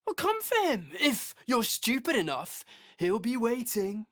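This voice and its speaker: A haughty female vampire spawn from a video game, taunting with wide swings of pitch. Her disdainful phrases are drawn out and recorded close and dry. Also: big pitch swings, measured pace, fairly bright tone, no echo, full character voice. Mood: challenging. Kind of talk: dramatic video game acting.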